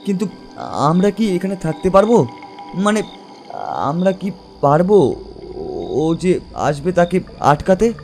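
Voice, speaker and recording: A man's voice: high voice, expressive, slow pace, long pauses, a little mellow, faint music behind, full character voice. A man playing an anxious, hesitant young villager in a horror audio drama, worried and doubtful. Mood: fearful, doubtful.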